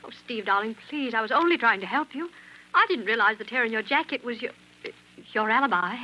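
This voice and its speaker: A woman's voice: on the high side, animated, brisk. An actress in a 1940s radio melodrama playing the anguished, devoted wife of an accused man, pleading for him. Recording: dull, noisy old radio recording.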